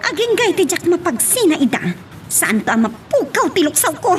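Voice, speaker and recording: A woman's voice: very high-pitched, sing-song, moderate pace, slight background noise. A woman playing a scheming, hot-tempered daughter in a radio drama, with an agitated, emphatic delivery. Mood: angry, indignant. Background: music.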